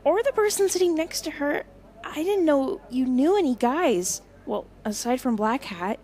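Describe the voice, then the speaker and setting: A woman's voice: high voice, animated, moderate pace, dark tone. A woman acting a shy, self-doubting, sweet young seer in an audio drama, timid and hesitant. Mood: nervous.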